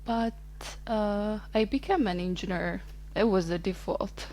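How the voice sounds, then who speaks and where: A woman's voice: medium pitch, measured pace, a little mellow. Easygoing woman in her twenties. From Ethiopia. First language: Amharic. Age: 20s. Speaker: podcast guest. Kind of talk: casual interview chat.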